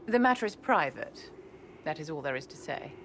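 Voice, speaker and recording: A low-voiced haughty enchantress. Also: female voice, big pitch swings, normal speed, a little hiss or hum. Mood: imperious. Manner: poised, condescending, formal. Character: haughty court enchantress, imperious and secretive. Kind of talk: video game cutscene dialogue.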